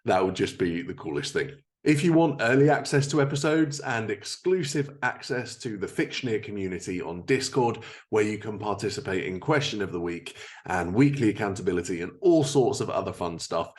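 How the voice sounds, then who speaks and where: Low-voiced male podcast host. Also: expressive, normal speed, a little mellow. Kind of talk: podcast hosting, scripted updates and interview.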